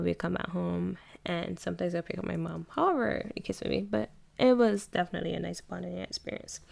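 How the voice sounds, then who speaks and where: Chatty Barbadian woman, gravelly edge. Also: lowish voice. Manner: chatty, laughs often, self-correcting. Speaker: podcast host. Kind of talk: casual solo podcast chat.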